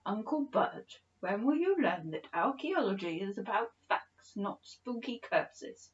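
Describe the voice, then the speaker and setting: A woman's voice: mid-range voice, very animated, unhurried, dull audio. A woman voicing a thin, snappish male head curator, a stern and disdainful storybook villain. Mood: scornful, threatening.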